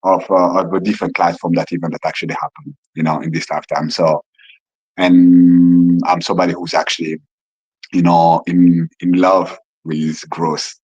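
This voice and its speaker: A man's voice: sits low, flat delivery, normal speed, a little mellow, slightly rough, no room sound. A man in his forties from Rwanda whose first language is Kinyarwanda. He speaks earnestly and reflectively as a podcast guest on a phone, often repeating words as he gathers his thoughts. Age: 40s. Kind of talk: casual remote interview. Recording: lo-fi phone recording.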